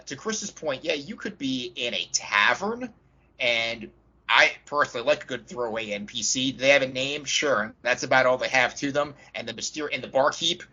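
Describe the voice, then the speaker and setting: A man's voice: medium pitch, pushed and bright. A man co-hosting a casual podcast about games, with a wry way of talking. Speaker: podcast co-host. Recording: dull lo-fi audio.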